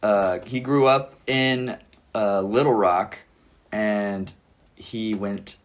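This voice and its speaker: Laid-back young male guest, slightly low voice, loose rambling conversational delivery. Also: fairly even intonation, extremely slow. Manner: laid-back, rambling, says "like" and "dude" often. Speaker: podcast guest. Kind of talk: casual conversation.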